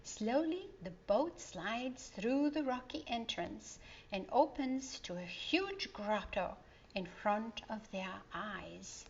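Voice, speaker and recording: A woman reading a children's story aloud in a gentle, expressive way. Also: a little high, takes it slowly, creaky, slightly rough. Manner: gentle, expressive, sing-song. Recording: dull lo-fi recording.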